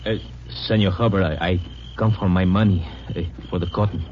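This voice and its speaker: An actor playing a humble but proud sharecropper with a Mexican accent and halting, polite broken English in a 1950s radio drama. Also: male voice, low voice, fairly even intonation, lo-fi sound, lightly acted. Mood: pleading. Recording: band-limited old radio recording, faint noise.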